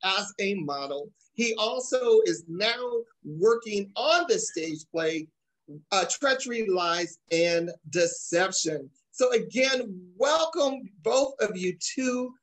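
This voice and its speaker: A man's voice: sits high, lively intonation, slow pace, fairly bright tone, rough recording. A middle-aged man presents a casual talk show from a room at home. He interviews guests and hands out encouragement and advice. He is recorded over a video call. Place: small room at home, indoors.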